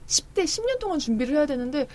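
Lively fast-talking woman in her thirties. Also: high-pitched. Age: 30s. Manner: lively, chatty, laughs easily. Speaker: guest author. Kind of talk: casual interview talk.

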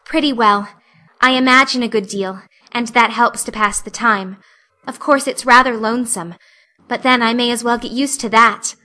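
A woman's voice: fairly high pitch, normal speed, pushed and bright. A woman voicing an earnest, talkative young girl in a storybook, with a solemn, dramatic and plaintive way of speaking. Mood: wistful. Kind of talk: audiobook character acting.

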